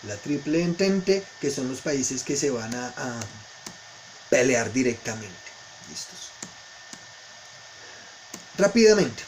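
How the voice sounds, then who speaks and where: A man's voice: fairly high pitch, a little mellow, a little hiss or hum, rough recording. A man teaching a history lesson to students in an informal lecture style, explaining didactically and often checking in with short questions.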